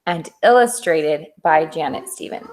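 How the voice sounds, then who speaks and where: Female read-aloud narrator for children's books, unhurried, animated pitch. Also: poor audio. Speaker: teacher reading aloud.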